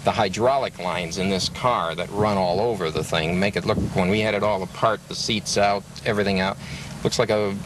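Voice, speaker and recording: Older man, low voice, earnest emphatic storyteller, conversational, clearly noisy location sound with vehicle ambience. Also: steady tone, a little fast. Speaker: interview guest, classic car owner and restorer.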